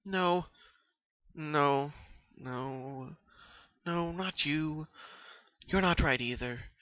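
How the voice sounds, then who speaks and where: A man's voice: fairly high pitch, extremely slow, bright, forceful tone, dry recording, highs cut off, pitched above the performer's own voice, full character voice. A man voicing a studious, caring female unicorn pony, speaking earnestly and articulately in a cartoon story reading. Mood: busy, searching.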